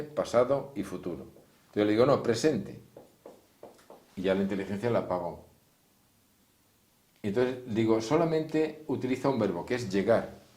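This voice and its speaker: A man's voice: lowish voice, darkish tone. A man giving an informal, didactic class, explaining step by step and addressing his listeners directly. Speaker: therapist teaching a class. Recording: echoey room.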